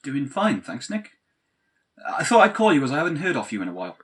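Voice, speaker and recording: A man's voice: a little high, sing-song, fast, a bit bright, husky, heavily acted. A man acting a patient but exasperated straight-man best friend, wry and long-suffering, in a comedic audio play. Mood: concerned.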